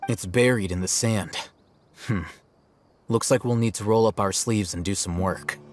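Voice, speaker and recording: Man playing a low-voiced, composed scholar. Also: no room sound, soft background music, natural voice. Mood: calm, practical. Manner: measured, explanatory. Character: composed, analytical male scholar, matter-of-fact and sharp. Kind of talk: video game cutscene acting.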